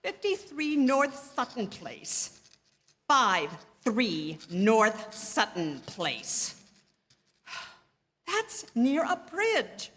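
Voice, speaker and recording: Casting: woman playing high-pitched, imperious invalid wife, nervy and stammering, classic radio suspense, performed in a reverberant theatre. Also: sing-song, very slow, fairly bright tone, exaggerated voice. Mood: anxious. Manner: agitated, stammering, haughty, indignant. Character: nervous, imperious bedridden wife, fretful and insistent. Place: live theatre stage. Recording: long hall reverb from theatre stage.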